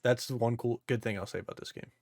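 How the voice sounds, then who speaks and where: A man's voice: medium pitch, fairly even intonation, fast, gravelly, no room sound, studio quality. A man co-hosting a podcast, ranting casually and emphatically with strong opinions about films. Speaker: podcast co-host. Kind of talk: casual opinionated movie chat.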